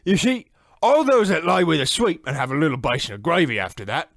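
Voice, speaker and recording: A man's voice: fairly high pitch, animated, a little fast, a bit bright, dry recording, studio quality. A man playing a cocky, chatty little monstrous chimney sweep in an old-time radio horror comedy, telling tales with swagger and British slang. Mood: gleefully explaining.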